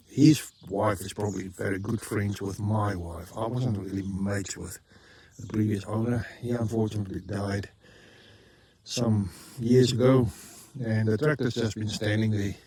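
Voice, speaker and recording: A man's voice: fairly low pitch, measured pace, dark tone, slightly rough. A South African man talking casually in a rambling home vlog, often stopping to correct himself. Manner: rambling, self-correcting, frequent ums. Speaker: vlogger. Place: rural homestead.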